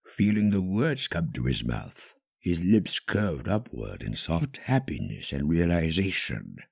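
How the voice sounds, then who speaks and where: A man's voice: deep voice, huge pitch swings, measured pace, gravelly, darkish tone, breathy edge. A man reading a fan-fiction story as a dramatic scripted narrator.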